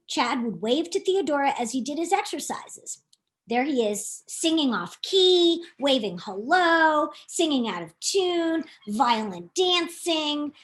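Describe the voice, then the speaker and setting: Female children's author reading her picture book: high-pitched, lively intonation, playful and direct with young listeners. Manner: expressive, playful, addresses young listeners with questions and asides. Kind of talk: animated storytime reading to children.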